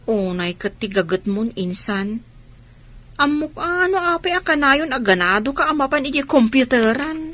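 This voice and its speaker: A high, animated teasing young Filipina. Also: female voice, moderate pace. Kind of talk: radio drama acting.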